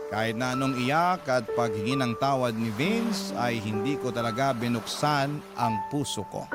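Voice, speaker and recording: Man playing a heartbroken narrator over loud music. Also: mid-range voice, lightly acted. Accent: Filipino. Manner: earnest, sorrowful storytelling. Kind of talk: dramatized first-person radio narration.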